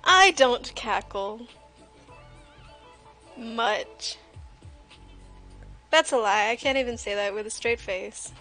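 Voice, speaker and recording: A woman's voice: fairly high pitch, lively intonation, slow, long pauses, sharp tone. A woman livestream host chatting casually and jokingly while she draws, with a playful, self-deprecating way of talking. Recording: music playing behind the voice. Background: music.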